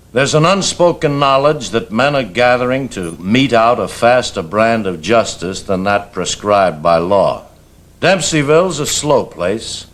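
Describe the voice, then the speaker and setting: A man narrating a Western drama in a grave, foreboding manner, measured and emphatic. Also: lowish voice, fairly bright tone.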